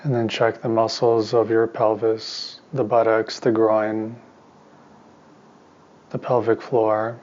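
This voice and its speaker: A man teaching meditation in a soft voice, giving calm, soothing instructions in short, spaced phrases. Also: fairly low pitch, slow, pauses often, muffled recording. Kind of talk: guided meditation.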